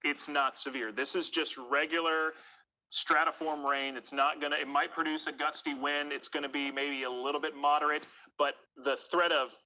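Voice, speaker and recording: Very bright-voiced male TV weather forecaster. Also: on the high side, level tone, normal speed, rough recording. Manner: conversational, explanatory. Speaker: TV meteorologist. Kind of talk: live severe weather commentary.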